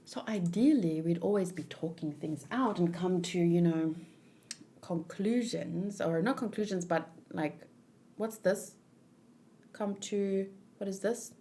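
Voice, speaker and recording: A woman hosts a read-along in a casual, informal way. She thinks out loud as she talks, often feeling around for the right word. Her commentary is chatty and unscripted. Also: on the low side, takes it slowly. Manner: thinking aloud, searching for words. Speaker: host of a manga read-along.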